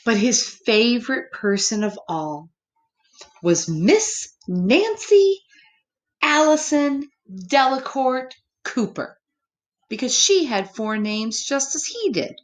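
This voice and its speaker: A woman storyteller reading a picture book to children, speaking simply and expressively, with gentle questioning asides to young listeners. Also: fairly high pitch, very animated, takes it slowly.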